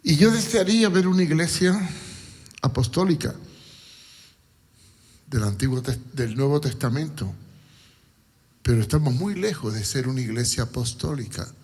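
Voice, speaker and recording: A man from Cuba preaching a sermon in a deliberate, emphatic way, with repetition and rhetorical questions. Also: medium pitch, lively intonation, a little mellow, breathy edge. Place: large church hall. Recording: long hall reverb.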